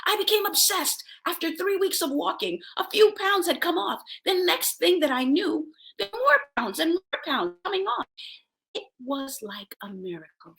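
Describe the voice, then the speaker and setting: A woman's voice: very high-pitched, expressive, moderate pace, vocal fry, a bit bright, rough recording. A woman hosting a self-help show, giving a motivational talk to viewers with personal stories, in an emphatic way that sometimes drops toward a whisper.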